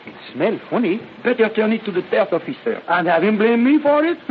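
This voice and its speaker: French merchant seaman, French accent, high voice, stilted English, vintage radio drama, faint hiss. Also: sing-song, brisk, phone-quality sound. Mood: grumbling, wistful. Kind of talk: old-time radio acting. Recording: thin, hissy old radio recording.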